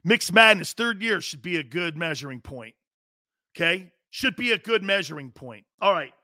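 A high-voiced male sports talk host with a bright, forceful tone. He speaks emphatically, reading out listener messages and chatting with a guest. The sound is close and dry from a studio. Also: animated, moderate pace, dry recording. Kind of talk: sports talk radio hosting.

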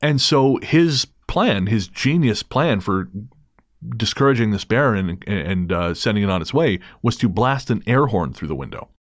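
A man's voice: on the low side, animated, moderate pace, no room sound, studio quality. A man hosting a podcast, chatting casually and cracking crude jokes between stories. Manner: joking, crude humor with easy laughter. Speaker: podcast host.

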